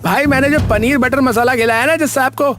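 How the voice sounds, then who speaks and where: A man's voice: very high-pitched, fairly quick, background noise. A man voicing a boastful, petulant rival cook in a cartoon, brash and exclamatory. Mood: bragging. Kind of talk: cartoon character dialogue.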